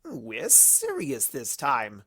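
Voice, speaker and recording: A woman's voice: extremely low pitch, huge pitch swings, extremely slow, fairly bright tone, breathy edge, exaggerated voice. A woman putting on a sly male chaos creature. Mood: playful. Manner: blunt, sly, playful. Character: smug, mischievous male chaos creature from cartoon. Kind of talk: cartoon fan fiction character reading.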